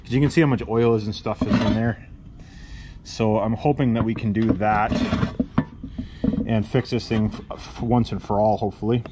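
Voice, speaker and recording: A man's voice: fairly low pitch, fairly even intonation, normal speed, very noisy. Rambling man in a welding shop. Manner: rambling, self-correcting, plain-spoken. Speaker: welder and channel host. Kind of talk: casual workshop how-to talk. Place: cluttered workshop garage.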